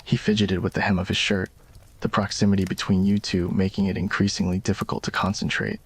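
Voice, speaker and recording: A man's voice: low voice, flat delivery, brisk, husky. A man reading scripted narration for a romantic ASMR roleplay story.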